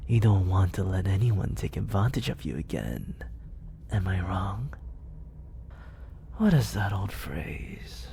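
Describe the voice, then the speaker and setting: A man acting out a manipulative villain who purrs his words close to the mic, low-toned and slow. His voice is gravelly and dark, and it drifts often into a hushed whisper. His manner is coaxing, condescending and fully in control. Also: very animated, a little hiss or hum, exaggerated voice. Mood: smug, coaxing. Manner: slow, purring delivery that often drops into whispers. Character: smooth, manipulative male villain, soft-spoken and controlling. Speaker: voice actor. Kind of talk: ASMR roleplay. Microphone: close studio condenser mic.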